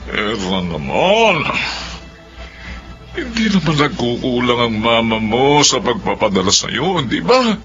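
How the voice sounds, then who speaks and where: A man's voice: mid-range voice, big pitch swings, takes it slowly, a bit bright. A man playing a stern, protective, middle-aged father and grandfather in a Filipino radio drama, with a Filipino accent. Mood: angry, scolding. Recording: muffled radio drama with music behind.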